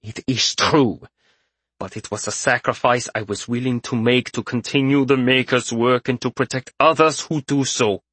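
A man voicing a grim older religious zealot in an audiobook, gruff, solemn and defiant. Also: mid-range voice, fairly even intonation, moderate pace, a bit bright, dry recording. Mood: bitter resolve.

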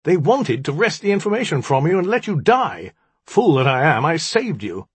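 Man voicing a haughty, raised-pitch young magician. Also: very animated, normal speed, slightly rough, no room sound. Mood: bitter, aggrieved. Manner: haughty, accusing, dramatic. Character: bitter, self-pitying young male magician. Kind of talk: audiobook character dialogue.

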